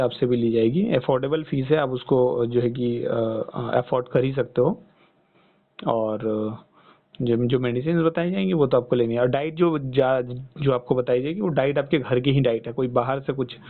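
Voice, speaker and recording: A man's voice: medium pitch, steady tone, moderate pace. A man giving reassuring health guidance as a coach over a phone call, explaining step by step and often checking with short tag questions. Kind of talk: phone consultation, explaining and advising. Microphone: phone line. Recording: tinny band-limited phone call.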